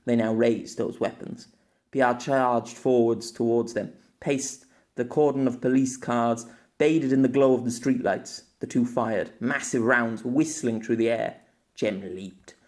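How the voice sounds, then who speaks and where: Man narrating an audiobook. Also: mid-range voice. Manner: steady, storytelling. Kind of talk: scripted narration.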